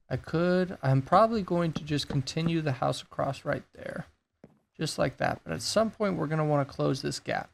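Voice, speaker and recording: A man with a raspy voice that is going hoarse, thinking aloud in a laid-back way while he builds in a game. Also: fairly high pitch, a little hiss or hum. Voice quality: gravelly, raspy. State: losing his voice. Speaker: gaming YouTuber. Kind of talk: casual gameplay commentary.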